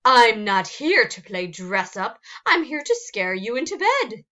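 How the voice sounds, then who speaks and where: A woman voicing a haughty, indignant female clawed monster in a storybook, with a raspy snarl. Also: on the high side, sing-song, measured pace, sharp tone, dull audio, pitched above the performer's own voice, full character voice.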